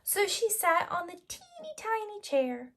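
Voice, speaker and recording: A woman telling a story to preschoolers in an expressive, emphatic way. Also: extremely high pitch, a little slow. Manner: emphatic, draws out size words. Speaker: children's storyteller.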